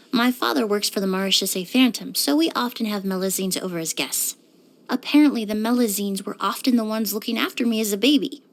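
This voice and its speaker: A poised young perfumer from a video game, with a voice a little on the high side. She speaks in measured, articulate phrases with a refined, polite composure. Her delivery is that of a scripted character monologue, quietly curious and thoughtful.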